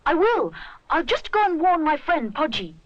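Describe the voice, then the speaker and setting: A woman's voice: sits high, sing-song, normal speed, no room sound, muffled recording, pitched above the performer's own voice. A woman voicing a kind, eager young boy who is a bear, speaking politely.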